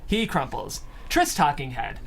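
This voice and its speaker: Lively stage directions reader, noisy room. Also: mid-range voice, unhurried, vocal fry. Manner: amused, animated. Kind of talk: scripted narration at a table read.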